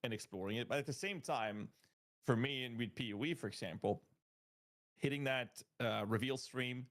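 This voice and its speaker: Gravelly man telling animated stories. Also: medium pitch, normal speed. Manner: animated storytelling, laughs often. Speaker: podcast guest. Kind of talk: casual podcast chat.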